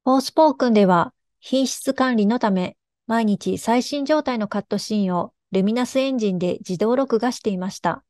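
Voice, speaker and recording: A woman presenting in a formal, measured, explanatory way, reading a scripted technical narration. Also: a little high, darkish tone, dry recording, studio quality.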